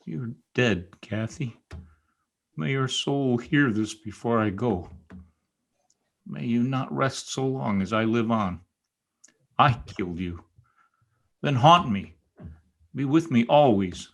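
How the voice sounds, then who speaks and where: An actor playing an anguished, passionate, brooding lover in an intense, theatrical old-time radio style. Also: male voice, mid-range voice, slow, long pauses. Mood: anguished grief. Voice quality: gravelly, husky. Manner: intense, grief-stricken, theatrical.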